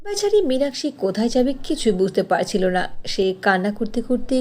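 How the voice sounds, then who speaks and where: A woman's voice: on the high side, big pitch swings, a little fast, a little mellow. A woman narrating a scripted cartoon story in a storybook style.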